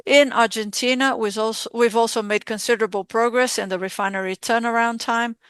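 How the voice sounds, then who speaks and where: Female conference interpreter, smooth clear voice, dry close sound, formal financial delivery in measured segments. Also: on the high side, fairly even intonation, fairly bright tone, dry recording. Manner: measured, halting phrasing in short segments. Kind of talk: formal earnings call presentation, spoken live.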